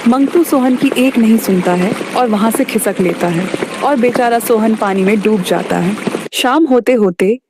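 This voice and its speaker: A woman's voice: on the high side, normal speed, noisy background. A woman narrator reading a scripted moral story for a cartoon.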